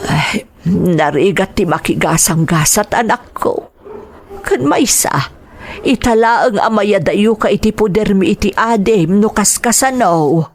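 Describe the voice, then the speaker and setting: A woman's voice: mid-range voice, unhurried. A woman acting a worried, loving middle-aged mother in an audio drama, with an Ilocano Filipino accent. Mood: anxious, pleading. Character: worried, loving mother of a daughter going abroad.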